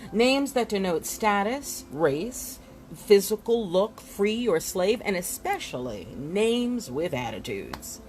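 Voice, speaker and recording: A woman reads nonfiction aloud with a theatrical, deliberate delivery. Her pitch moves widely from phrase to phrase, and she takes her time, pausing for emphasis. Music plays faintly behind her. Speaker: book reader. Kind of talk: expressive read-aloud of a nonfiction book.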